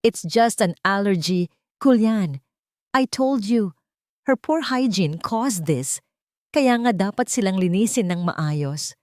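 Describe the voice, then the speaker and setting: A woman voicing an imperious, cruel old rich matriarch in an audiobook melodrama, with a shrill, commanding delivery. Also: lively intonation, slow, dry recording, clean studio sound. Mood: defensive, insistent.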